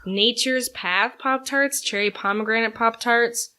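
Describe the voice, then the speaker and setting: A woman's voice: fairly high pitch, measured pace, bright, forceful tone. A young woman co-hosting a casual podcast with her mother, giggly and chatty, with lots of fillers. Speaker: podcast co-host, daughter. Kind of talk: casual chat.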